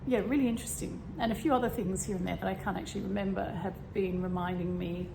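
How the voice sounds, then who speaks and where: A woman with a slightly croaky voice talking casually and meanderingly about spirituality, with many fillers and throat clearing. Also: mid-range voice, fast. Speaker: spiritual vlogger. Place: home room with a fan running. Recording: steady fan hum behind. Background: fan noise.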